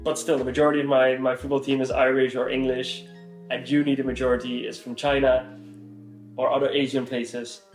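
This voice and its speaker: A man's voice: medium pitch, little pitch movement, brisk, reverberant, faint music behind. A young man with a Dutch accent, chatting casually and thoughtfully as a vlogger. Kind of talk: casual chat.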